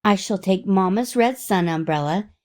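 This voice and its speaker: A woman's voice: mid-range voice, normal speed, a little mellow, close to an everyday voice. A woman voicing a carefree, eager little schoolgirl in a children's storybook. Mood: carefree, wishful.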